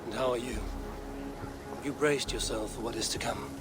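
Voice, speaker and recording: An actor playing an earnest, blunt Viking warrior and steadfast friend in a dramatic video game. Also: male voice, mid-range voice, fairly even intonation, moderate pace, over music, close to an everyday voice. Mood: concerned. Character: stern, loyal Viking raider, steadfast friend. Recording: clearly noisy outdoor ambience behind.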